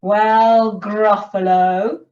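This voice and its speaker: A woman's voice: mid-range voice, flat delivery, extremely slow. A woman voicing a small, clever, cheerful mouse with a smug, sing-song delivery for a storybook. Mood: boastful. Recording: roomy, distant, dull sound.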